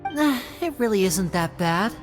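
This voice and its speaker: Woman as boyish young magician, medium female pitch, very varied intonation, sly, teasing, showman-like, video game. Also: lively intonation, a little slow, soft background music, close to an everyday voice. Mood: wry, showy. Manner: teasing, theatrical. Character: sly, playful young male stage magician, smooth-talking showman. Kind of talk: animated video game voice acting.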